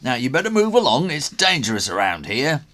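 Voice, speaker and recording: A man voicing a brisk, bluff male demolition worker in a children's story, loud and matter-of-fact. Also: mid-range voice, big pitch swings, voice pushed up. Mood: brusque.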